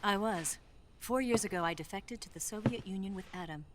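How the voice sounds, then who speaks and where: A woman's voice: mid-range voice, fairly quick, background noise, close to an everyday voice. A woman playing a cool, confident female spy in a video game, speaking in a poised, sly and flirtatious way. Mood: cool, teasing. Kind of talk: dramatic video game voice acting.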